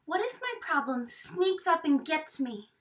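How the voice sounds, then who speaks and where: High-voiced woman reading a picture book. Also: big pitch swings, unhurried, fairly bright tone, a little hiss or hum. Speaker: storyteller reading aloud. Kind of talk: expressive picture-book read-aloud. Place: small indoor room with bookshelves. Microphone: camera a few metres away. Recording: distant microphone in a room.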